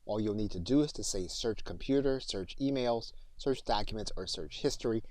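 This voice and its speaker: Male software trainer, lowish pitch, instructional screen-tutorial narration, dry close recording. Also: moderate pace, no echo. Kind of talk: tutorial narration with spoken voice commands.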